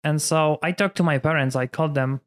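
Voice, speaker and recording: A man in his thirties from Poland, a priest speaking English with a Polish accent in a relaxed conversation, full of "you know" fillers. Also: a little high, a little fast. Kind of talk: casual conversation.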